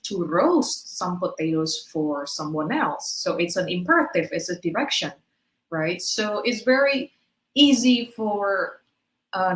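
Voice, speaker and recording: Woman lecturing in an echoey room. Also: on the low side. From Indonesia. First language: Indonesian. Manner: explanatory, checks in with "right?". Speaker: webinar speaker. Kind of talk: online lecture to teachers.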